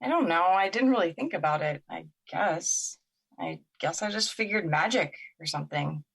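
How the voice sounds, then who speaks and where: A woman's voice: lowish voice, expressive, moderate pace, gravelly, fairly bright tone, breathy edge, exaggerated voice. An actress in an audio drama playing a wounded, wary young woman who talks in a casual, hesitant and candid way. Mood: wary, curious.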